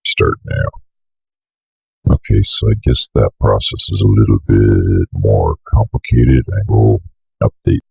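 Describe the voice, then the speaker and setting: A young man with a bit of a cold giving a casual software walkthrough in an offhand, mumbling way. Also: rumbling low, huge pitch swings, measured pace, vocal fry, slightly rough, dry recording, soft background music, poor audio. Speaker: software tutorial presenter. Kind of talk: casual screen-recorded walkthrough. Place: indoor room at a computer desk.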